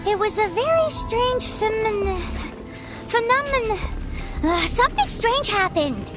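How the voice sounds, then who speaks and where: Childlike fairy game companion, squeaky, excitable, curious, very lively intonation, music behind. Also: female voice, unhurried. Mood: puzzled. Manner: exclamatory, wide-eyed. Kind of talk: anime-style video game voice acting.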